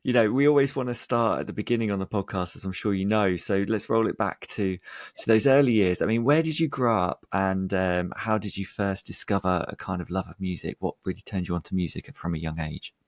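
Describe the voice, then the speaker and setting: A man hosting a podcast, reading a polished introduction and then asking relaxed questions. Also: sits low, brisk, no echo, studio quality. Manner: warm scripted intro then relaxed prompting. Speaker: podcast host. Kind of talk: interview hosting.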